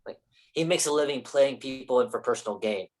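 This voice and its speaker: A low, husky voice for a defensive and ambitious male screen actor , played by a woman. The delivery is casual and sweary, in the manner of a staged play reading. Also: fairly quick, darkish tone. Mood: casually defiant. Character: defensive, ambitious young male film actor named Nick.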